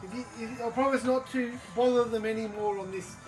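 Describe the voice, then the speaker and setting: Game master running a dnd session, kinda halting, far from the mic w music playing. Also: medium pitch, steady tone. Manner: halting, feeling for words. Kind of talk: improvised tabletop game narration and table chat. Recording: distant from mic, music over the voice.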